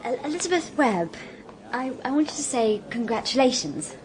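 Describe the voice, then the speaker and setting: A woman's voice: on the high side, very animated, moderate pace, darkish tone, poor audio. An English actress in her twenties voicing an eager, hesitant young woman lawyer who stammers in a radio drama. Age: 20s.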